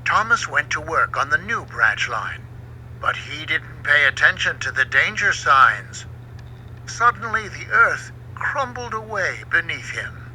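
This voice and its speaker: A man reading a children's storybook aloud in an expressive, dramatic way. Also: fairly high pitch, unhurried, rough recording. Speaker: book narrator. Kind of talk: scripted storybook narration for children. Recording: home recording with faint background noise.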